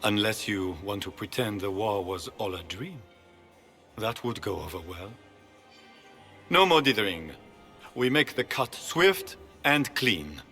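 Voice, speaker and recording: Game role: proud duke, forceful bright voice, unhurried oratory, echoing hall with music. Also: male voice, lowish voice, animated, heavily acted. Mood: curt, impatient. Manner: oratorical, deliberate. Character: proud, commanding grand duke at court. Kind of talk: theatrical video game acting. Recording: long hall reverb, music behind.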